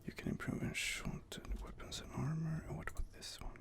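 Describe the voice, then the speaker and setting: A man whispering casual gaming ASMR commentary while he reads out game options and muses over them. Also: a little fast. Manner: soft whispering, casual and musing.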